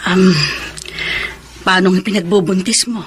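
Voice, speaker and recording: A woman's voice: medium pitch, fairly even intonation, measured pace, slightly rough. An actress playing a concerned middle-aged mother, motherly and inquiring, in a Filipino radio romance drama. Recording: music bed behind the voice.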